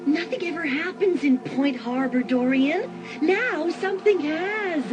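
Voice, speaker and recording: A bold, provocative teenage girl, acted by a woman in a dramatised audiobook. Her high voice takes its time and swings widely as she teases and goads. Music plays behind her. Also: a little slow, muffled recording. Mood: teasing, excited. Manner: teasing, provocative.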